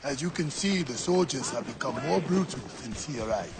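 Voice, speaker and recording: An actor as an animated, gravelly Egyptian villager. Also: male voice, medium pitch, moderate pace, noisy background, close to an everyday voice. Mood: weary, grave. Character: beleaguered Egyptian villager, loyal old friend of a returning warrior. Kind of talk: dramatic video game acting.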